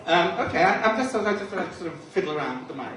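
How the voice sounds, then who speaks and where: A man's voice: high-pitched, lively intonation, fast talker, a bit bright. A man from Liverpool, a drag performance poet, bantering camply with a live crowd and reciting his poem. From Liverpool, England. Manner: camp, flamboyant, chatty with the crowd. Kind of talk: live stage poetry with banter. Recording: echoey room from far off, noisy.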